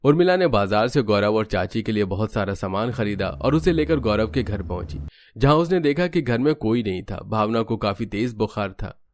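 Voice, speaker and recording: A man's voice: lowish voice, animated, normal speed. A man narrating a scripted cartoon family story as a storyteller.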